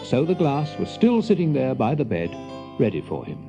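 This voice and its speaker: A man narrating a scripted children's story dramatically, as an expressive, confiding storyteller. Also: medium pitch, moderate pace, soft background music.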